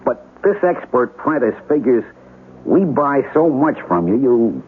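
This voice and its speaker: An actor playing a weary, blunt business buyer in a radio drama, matter-of-fact with a sardonic edge. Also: male voice, mid-range voice, big pitch swings, measured pace, slight background noise. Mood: resigned, sardonic. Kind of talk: 1970s radio drama acting. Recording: tinny old radio broadcast.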